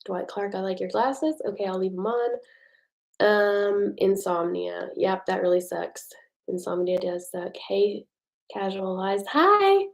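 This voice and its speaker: A woman's voice: mid-range voice, animated, unhurried, vocal fry. A woman from the United States chatting casually with viewers on a livestream, reading their comments aloud and joking at her own expense. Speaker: livestream host. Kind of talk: casual live chat with viewers. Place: home at night.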